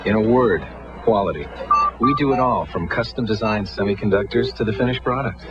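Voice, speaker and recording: A man giving an assured, scripted sales pitch for a commercial, in declarative statements. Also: a little fast, close to an everyday voice. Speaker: TV commercial spokesman. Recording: muffled vintage TV advert with music. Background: music.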